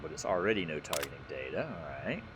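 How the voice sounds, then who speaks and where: A man's voice: rumbling low, sing-song, fast, heavy background noise. A man giving casual, rambling commentary as he plays a video game, thinking aloud. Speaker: gaming video host.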